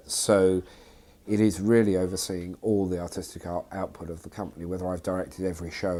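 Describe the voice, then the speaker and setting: A man's voice: low voice, darkish tone. A man speaking off the cuff in an interview as a theatre company director, with faint noise behind him. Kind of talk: unscripted interview.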